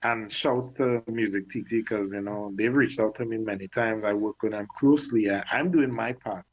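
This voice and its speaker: A man working as a music manager, chatting candidly in an interview and often clearing his throat. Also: lowish voice, moderate pace, low-quality recording. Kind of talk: casual interview talk.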